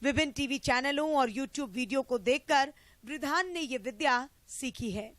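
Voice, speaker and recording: A woman reporter voices a news package in a crisp, formal read. Her voice is high, and the sound is close and dry. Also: moderate pace, fairly bright tone, no room sound. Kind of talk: scripted report voice-over.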